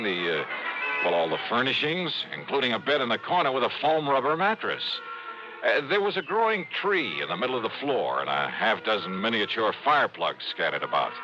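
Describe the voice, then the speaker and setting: Male actor for hardboiled, skeptical investigator in old-time radio mystery; animated mid-range delivery, muffled vintage sound with music. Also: mid-range voice, close to an everyday voice. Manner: wry, skeptical, colloquial. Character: wry, hardboiled male freelance insurance investigator. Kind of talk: golden-age American radio drama acting, dialogue and first-person narration.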